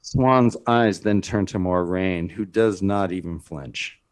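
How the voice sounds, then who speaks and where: Male screenplay narrator, low register, very measured dramatic reading.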